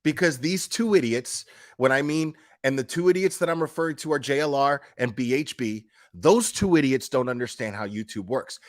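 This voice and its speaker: A man's voice: mid-range voice, normal speed. A man giving casual, opinionated commentary as a streamer, speaking in a blunt, emphatic, foul-mouthed manner.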